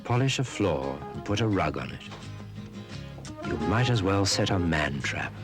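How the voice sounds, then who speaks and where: A man narrates an old cautionary film. His voice is low, grave and wry. Music plays clearly beneath the words. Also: sing-song, measured pace.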